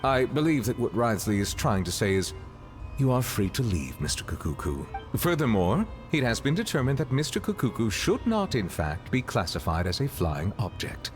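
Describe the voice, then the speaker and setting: A man voicing a dignified, earnest figure of authority in a video game, formal and measured. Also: medium pitch, big pitch swings, close to an everyday voice. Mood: solemn, courteous. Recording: faint music behind the voice.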